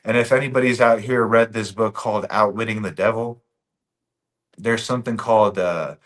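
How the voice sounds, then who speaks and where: A man's voice: fairly low pitch, fairly even intonation, normal speed, fairly bright tone. A man from Bosnia, a podcast guest talking casually and earnestly, often repeating his key phrases for emphasis.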